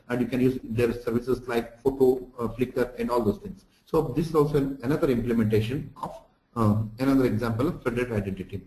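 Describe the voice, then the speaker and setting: A man's voice: mid-range voice, mellow. A man lecturing through slides for an online lesson, explaining didactically with examples and recaps. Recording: echoey room sound.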